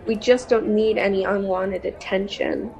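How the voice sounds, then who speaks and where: A bold, bossy young adventurer played by a girl in an amateur fantasy radio play. The voice is youthful and of middling pitch. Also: fairly even intonation, moderate pace, vocal fry, darkish tone, close to an everyday voice, low-quality recording. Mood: scolding. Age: child, 10 to 13. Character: bold, bossy young would-be adventurer among tavern friends.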